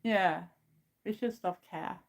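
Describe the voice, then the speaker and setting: A woman's voice: medium pitch, takes it slowly. A woman in her fifties with a Swedish accent, a native Swedish speaker, chatting casually and frankly with viewers on a livestream in plain, earnest second-language English. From Sweden. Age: 50s. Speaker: goth YouTuber hosting a livestream. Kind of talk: casual live chat answering viewers.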